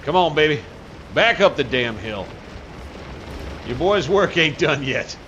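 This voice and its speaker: A man hosting a casual multiplayer gameplay session, relaxed and joking, often muttering quietly to himself. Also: fairly high pitch, measured pace, muffled recording. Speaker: channel host. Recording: noisy game audio behind.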